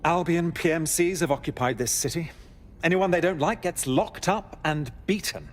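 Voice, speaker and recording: A dryly stern resistance ally in video game dialogue, acted by a man. He sounds cautioning, in a voice slightly higher than most men's. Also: moderate pace, natural voice.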